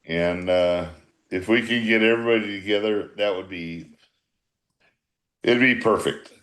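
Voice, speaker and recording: An older man with a fairly low voice chats casually over a video call. He is blunt and teasing, salting his reminiscing with profanity like an old ballclub skipper. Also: a little slow, fairly bright tone. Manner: blunt, teasing, swearing banter. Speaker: former baseball manager reminiscing with players. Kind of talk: casual profane group chat.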